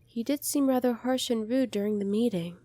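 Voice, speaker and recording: A woman playing a gentle, earnest young alien princess in an ASMR roleplay, speaking softly and politely to the listener. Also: fairly high pitch, brisk, dry recording, close to an everyday voice. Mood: concerned. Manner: soft-spoken, polite, earnest, apologetic.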